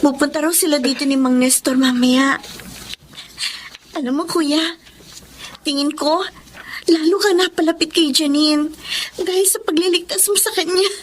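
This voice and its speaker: An actress playing a caring, encouraging younger sister in a Filipino radio drama, affectionate and coaxing, with a Filipino accent. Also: female voice, high voice, fairly even intonation, moderate pace, long pauses, a little hiss or hum, natural voice.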